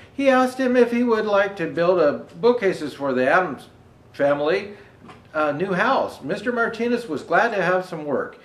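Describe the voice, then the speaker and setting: Male children's storyteller, highish voice with animated swings, halting read-aloud delivery with asides about pictures, roomy echoing recording. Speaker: storyteller presenting as Santa Claus.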